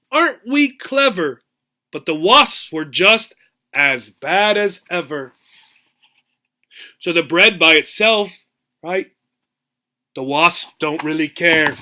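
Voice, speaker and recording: A man's voice: fairly high pitch, bright, forceful tone. A man reading a rhyming storybook aloud as a teacher, adding simple explanations and checking that learners follow. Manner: checks understanding with "right?".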